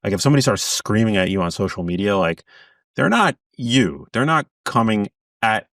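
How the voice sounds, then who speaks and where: A man's voice: fairly low pitch, big pitch swings, normal speed, a bit bright, no room sound, clean studio sound, recorded from a distance. A man guesting on an interview podcast as an author, talking earnestly in bursts with frequent restarts. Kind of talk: casual interview chat.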